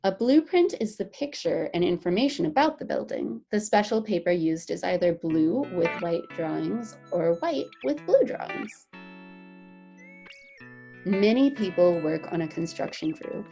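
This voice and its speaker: Animated woman reading aloud to children. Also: lowish voice, measured pace, vocal fry, faint music behind. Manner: animated, encouraging, explains things simply to children. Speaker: children's teacher. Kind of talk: picture book read-aloud for young children.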